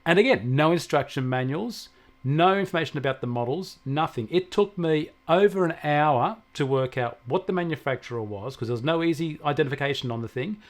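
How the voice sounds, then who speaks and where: A man's voice: on the high side, lively intonation, a little fast. A man explaining things conversationally as a technology co-host, telling anecdotes from his own work. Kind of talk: conversational tech discussion.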